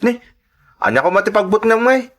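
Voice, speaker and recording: A man's voice: high-pitched, big pitch swings, brisk. A man playing a brash, pleading young brother in an audio drama, with an Ilocano accent. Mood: brash, teasing.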